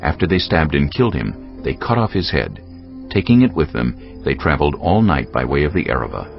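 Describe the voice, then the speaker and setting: Very deep, gravelly male scripture narrator. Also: big pitch swings. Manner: measured, solemn storytelling. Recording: slightly dull sound, music underneath. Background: background music.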